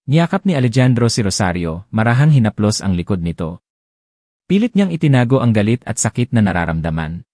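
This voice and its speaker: A man's voice: fairly low pitch, sing-song, a little fast, darkish tone, no echo, clean studio sound. A man narrating a romance audiobook, reading dramatically and expressively. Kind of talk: scripted narration.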